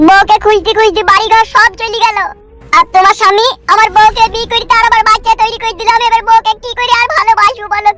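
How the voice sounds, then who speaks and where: Whining cartoon husband, extremely high pitch, rapid complaints, aggrieved, music behind. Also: bright, forceful tone. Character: aggrieved jilted husband, comic whining lament. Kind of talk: Bengali cartoon comedy dialogue.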